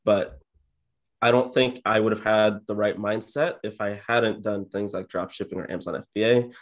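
Young male guest, 20s, deep-leaning voice, unexcitable and introverted, relaxed conversational interview delivery from a home office. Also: normal speed. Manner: low-key, introverted, self-described monotone. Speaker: interview guest, real estate agent and YouTuber. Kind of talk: casual interview chat. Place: indoor home office, on a video call.

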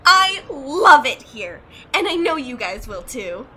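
A woman's voice: high-pitched, sing-song, slow, a crowd in the background, exaggerated voice. A woman playing a hyperactive, bubbly young graphic artist in an anime-style fan audio drama, gushing and giggly. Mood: cheery. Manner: gushing, giggly, squealing exclamations.

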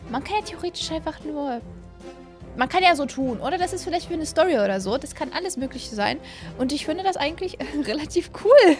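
Woman in her twenties, high-pitched, chatty gaming host, gushing, close mic, faint music underneath. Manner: gushing, chatty, thinking aloud. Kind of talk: casual let's-play commentary. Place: small room at home, indoors. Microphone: close studio microphone on boom arm, headset worn. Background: faint background music.

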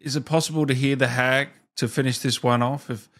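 Guy in his 30s hosting a chill online interview, middle-pitched voice, close mic. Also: steady tone, brisk, fairly bright tone. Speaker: host, interviewer. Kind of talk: casual interview chat. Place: small indoor streaming room. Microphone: studio microphone close in front.

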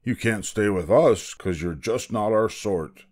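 A man's voice: on the low side, unhurried, a little mellow. A man voicing stern, scornful moose in a children's storybook, curt and frowning. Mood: indignant.

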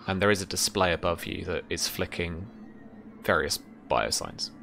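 Game master, male, 30s, low voice with a forward edge, halting descriptive horror narration. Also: expressive, vocal fry, pushed and bright, soft background music. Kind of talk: improvised tabletop horror narration.

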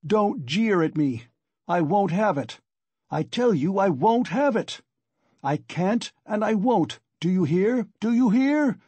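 A man's voice: sits high, animated, moderate pace, few pauses, a little mellow, husky, no echo, pitched above the performer's own voice, full character voice. A man voicing a haughty, tormented suspect in an audiobook, imperious, agitated and furious. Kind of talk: dramatic audiobook character reading.

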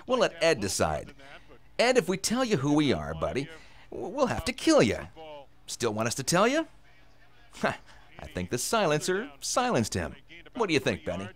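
An actor playing a menacing robber in a radio drama, taunting and sarcastic with a false friendliness. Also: male voice, on the high side, huge pitch swings, normal speed, long pauses. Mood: mocking menace. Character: menacing, mockingly friendly male robber posing as FBI agent.

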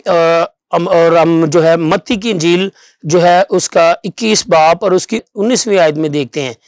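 A middle-aged man delivers a televised Bible message. His voice sits high for a man and is recorded dry on a lapel mic in a studio. He explains step by step in a halting way, repeating phrases for emphasis. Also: a little mellow, no room sound. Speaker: pastor. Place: small TV studio, seated at a desk.

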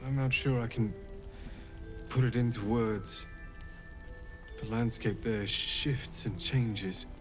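An actor playing a weakened, haunted man in a video game, speaking in a halting, dazed, pleading way. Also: mid-range voice, steady tone, faint music behind, lightly acted. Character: weakened, haunted man escaped from a shadow realm. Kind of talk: video game character acting.

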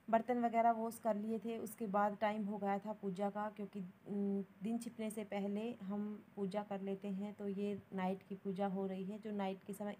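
Young woman vlogger, mellow and even voice-over, plain and matter-of-fact, slight background noise. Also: medium pitch, low-quality recording. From Haryana, India. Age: young adult. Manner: plain, matter-of-fact, mixing in English words. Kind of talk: casual voice-over narration of daily routine.

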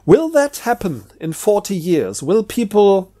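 A man's voice: high-pitched, big pitch swings, unhurried, mellow. A middle-aged man talking casually and unscripted about books, hesitant and rambling, often repeating words as he thinks aloud. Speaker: book reviewer.

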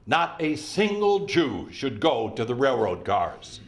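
A stern, rousing resistance leader acted by a man proclaims to the people. The delivery is very slow and emphatic, with a widely moving, declamatory pitch. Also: sing-song. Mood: urgent, defiant. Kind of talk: dramatic proclamation.

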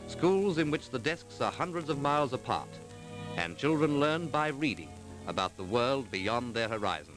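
Animated man narrating a vintage documentary. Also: medium pitch, music in the background. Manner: measured, formal, clearly enunciated. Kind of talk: 1940s documentary scripted narration. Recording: vintage 1940s film soundtrack.

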